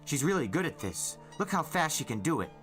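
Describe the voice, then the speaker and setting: Man playing a confident teenage girl, pitched up. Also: big pitch swings, a little fast, fairly bright tone, faint music behind. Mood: admiring. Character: confident, helpful, responsible-sounding teenage girl.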